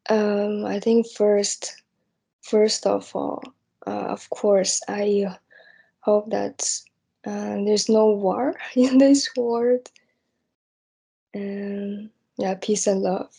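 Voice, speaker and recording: Young woman, slow halting delivery, husky, even-toned, reflective unscripted talk. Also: medium pitch. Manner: hesitant, halting, searching for words. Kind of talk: unscripted spoken reflection on personal hopes.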